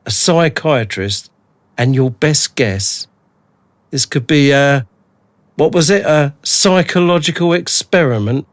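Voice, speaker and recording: A man's voice: on the high side, slow pace, no echo. An actor playing a suspicious, sarcastic male captive in a radio drama, sounding uneasy. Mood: suspicious, indignant. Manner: sardonic, uneasy. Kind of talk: naturalistic radio drama acting.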